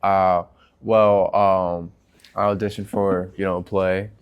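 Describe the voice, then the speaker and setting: A man's voice: low voice, flat delivery, takes it slowly, clear, resonant tone, lo-fi sound. A man hosting a casual chat in an informal, hesitant way, often searching for words. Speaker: channel host.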